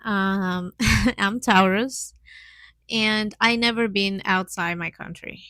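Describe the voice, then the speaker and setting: A young woman from Mexico hosts a casual solo podcast, recorded close and dry. Her Mexican accent is clear, and as a native Spanish speaker she feels her way through sentences, often with a small giggle. Her voice sits at an average pitch for a woman. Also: slow, sharp tone, no echo. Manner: hesitant learner's English with frequent little giggles. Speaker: podcast host.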